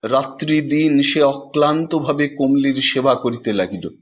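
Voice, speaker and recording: A man narrating a literary story in a measured, expressive and formal dramatic manner. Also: medium pitch, dull audio. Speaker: audiobook narrator.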